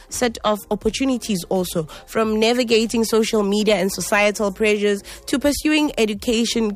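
A woman hosting a radio talk show, reading a measured introduction with fillers and restarts before turning to her guest. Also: medium pitch. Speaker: radio host.

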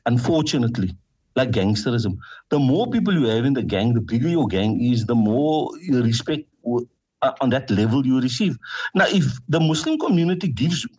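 South African male councillor, husky, pleading and emphatic, muffled lo-fi audio. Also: mid-range voice, darkish tone. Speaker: city councillor and party leader. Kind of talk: radio interview, political appeal.

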